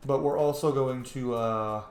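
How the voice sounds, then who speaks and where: A man's voice: medium pitch, steady tone, a little slow, a little mellow. A man giving casual, rambling, profane commentary as he streams a video game. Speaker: gameplay streamer. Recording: roomy echo, some background noise.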